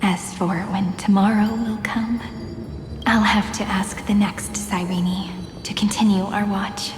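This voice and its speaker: Soft, wistful young woman in echoing reverb voiced by an actress. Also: mid-range voice, level tone, unhurried, close to an everyday voice. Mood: tender, accepting. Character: gentle, wistful young woman, devoted keeper of memories. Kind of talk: dramatic video game voice acting. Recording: long echoing hall reverb, music behind.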